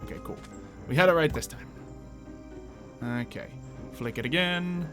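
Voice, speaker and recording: A man's voice: a little high, a little slow, over loud music. A man doing casual gameplay commentary with some light roleplay, rambling and thinking aloud as he goes. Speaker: gaming video host.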